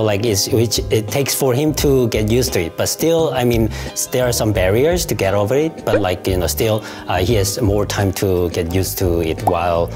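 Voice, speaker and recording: Middle-aged man, halting with many fillers. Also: mid-range voice. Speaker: professor, mentor. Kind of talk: interview answers. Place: dim studio set with bookshelves. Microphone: lapel mic. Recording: light music bed under voice.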